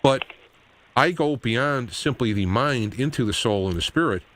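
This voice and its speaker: A middle-aged man hosting a talk show, speaking off the cuff in a rambling, halting way. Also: mid-range voice, animated, fairly bright tone, a little hiss or hum. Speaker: radio show host. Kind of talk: unscripted talk show monologue.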